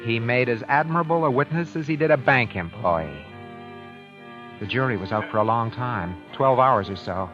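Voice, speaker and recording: An actor playing a cagey, calculating husband accused of murder, narrating and speaking in old radio suspense style, deliberate but halting under questioning. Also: male voice, medium pitch, natural voice. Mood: measured, brooding. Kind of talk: 1940s-50s radio suspense acting, first-person narration. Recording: muffled vintage radio recording, music behind.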